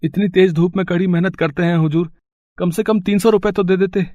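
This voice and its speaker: Casting: humble working father, weary and pleading, fairly high male voice, dull dry sound actor, cartoon moral tale. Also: fairly even intonation, brisk, dark tone, no echo, natural voice. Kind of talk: animated moral story voice acting.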